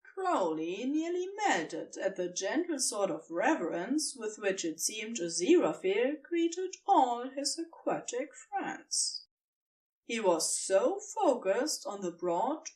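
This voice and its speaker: Female narrator for fiction, fairly high and very melodic, expressive storybook read, recorded a little away from the mic. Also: distant mic, poor audio.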